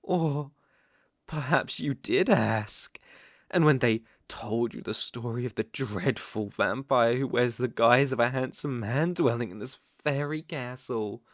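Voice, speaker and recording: A man's voice: mid-range voice, slow pace, dry recording, clean studio sound. A British man playing a suave, possessive vampire count in an intimate ASMR roleplay, teasing and commanding in theatrical, old-fashioned phrasing. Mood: commanding, gloating.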